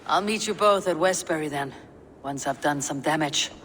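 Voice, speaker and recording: An actress playing a steady female Viking war leader in a video game, calm and decisive. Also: sits low, moderate pace, long reverb tail, slight background noise. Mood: matter-of-fact.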